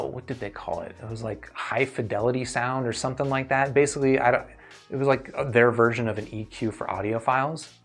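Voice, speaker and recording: A man with a medium-pitched voice hosts a casual live review stream. He talks conversationally and thinks aloud while answering questions from viewers. Music plays behind his voice. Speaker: host of a livestream reviewing earphones.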